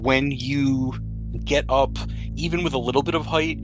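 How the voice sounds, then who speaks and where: Guy game mastering a tabletop rpg, explains stuff conversationally, medium pitch, music clearly playing behind him. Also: fairly even intonation, normal speed. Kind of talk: improvised tabletop game narration.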